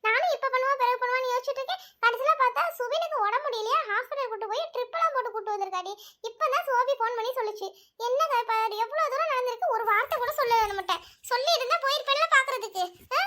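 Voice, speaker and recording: Woman doing a fretting auntie, high quick voice, keeps complaining. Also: pushed and bright. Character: worried aunt, older woman, fretting.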